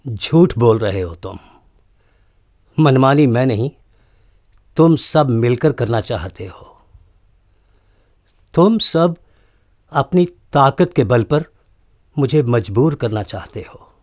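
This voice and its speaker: An earnest, non-violent college principal in a radio play, acted by a man. He speaks slowly and deliberately, with a very animated pitch that rises into pointed, reproachful questions. He pauses for weight as he pleads. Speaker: actor. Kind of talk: radio drama acting.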